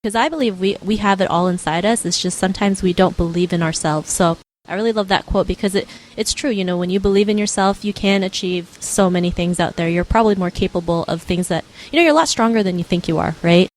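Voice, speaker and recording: A woman's voice: on the low side, fast, rough recording. Upbeat affirming woman podcast host. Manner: upbeat, affirming, many "you know" fillers. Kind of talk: podcast interview hosting.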